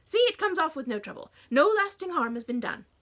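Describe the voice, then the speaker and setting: A woman's voice: sing-song, fairly quick, fairly bright tone. A woman voicing a fretful, overdramatic middle-aged mother who wails and scolds theatrically. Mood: wailing, dramatic. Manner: shrill theatrical wailing.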